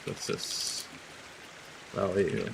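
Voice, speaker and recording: A man's voice: sits low, sing-song, drawn-out words, vocal fry, noisy background. A man giving casual live gameplay commentary as a streamer, thinking aloud in an analytical way. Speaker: game streamer. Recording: loud game music behind voice. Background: video game music.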